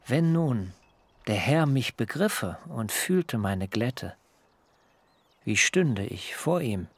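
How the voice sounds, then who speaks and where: An actor playing a cautious biblical son who tells his own story in a measured, literary way in a radio drama. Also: mid-range voice, very animated, breathy edge, no echo, full character voice. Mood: anxious, doubtful. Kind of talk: radio drama acting and first-person narration.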